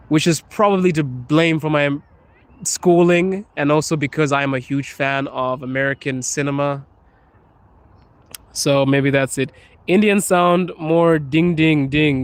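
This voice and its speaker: A man from Zimbabwe live-streaming outdoors on a phone, casually reading and joking about viewer comments as he walks. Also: a little high. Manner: laughing, joking with chat while walking. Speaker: livestreamer. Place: outdoors in a cold city street.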